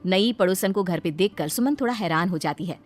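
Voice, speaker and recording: A woman's voice: fairly low pitch, lively intonation, a little fast, faint music behind. A woman reading scripted fairy tale narration as the storyteller.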